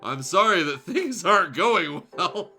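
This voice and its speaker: A man's voice: high-pitched, sing-song, measured pace, creaky, faint music behind, heavily acted. Man reading as a meek young female dinosaur. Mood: sympathetic. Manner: questioning, softly earnest. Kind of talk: fan fiction read-aloud.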